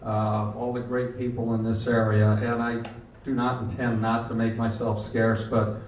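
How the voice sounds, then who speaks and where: A man's voice: medium pitch, little pitch movement, normal speed. An older man with an American accent, working as an announcer and speaking live on stage to an audience. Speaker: announcer and Foley artist. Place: indoor venue before a live audience. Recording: roomy live stage, distant mic, audience noise.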